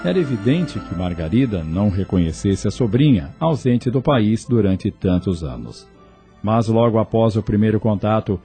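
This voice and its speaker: Low, mellow male storyteller. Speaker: narrator. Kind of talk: scripted radio drama narration.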